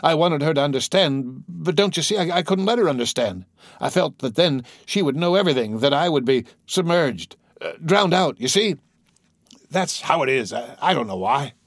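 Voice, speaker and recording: A man voicing a lonely, excitable little old man in an audiobook, with a thin, shaky, halting delivery. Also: medium pitch, no echo, studio quality, pitched above the performer's own voice. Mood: agitated, pleading. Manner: halting, stammering, repeating words.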